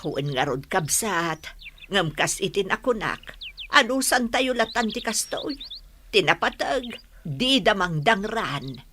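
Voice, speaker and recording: A woman's voice: medium pitch, big pitch swings, takes it slowly, sharp tone, slight background noise, exaggerated voice. A woman playing a long-suffering villager who speaks plaintively in a radio drama. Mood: patient, troubled. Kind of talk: Ilocano radio drama acting.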